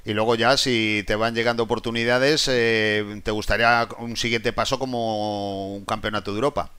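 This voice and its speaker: A man's voice: lowish voice, steady tone, moderate pace, bright, forceful tone, clean tone. A man interviewing a boxer, asking casual, friendly questions. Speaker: sports interviewer.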